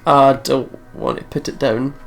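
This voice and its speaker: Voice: medium pitch, measured pace, creaky. An easygoing, giggly let's play host chatting casually over a game. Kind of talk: casual gaming chat.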